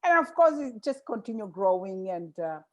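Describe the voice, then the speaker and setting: A woman's voice: mid-range voice, big pitch swings, moderate pace. A woman from Tanzania, a missionary sister, talking about her life in an earnest, reflective way on a video call. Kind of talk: casual conversation on a video call.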